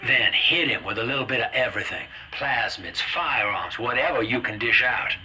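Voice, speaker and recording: An actor playing a folksy, wisecracking hustler in a retro game, with a chummy drawl. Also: male voice, mid-range voice, moderate pace, close to an everyday voice. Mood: jovial, instructive. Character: folksy, slick fast-talking male hustler guiding the player. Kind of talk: stylized retro game voice acting, colorful period slang. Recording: noisy radio-style transmission.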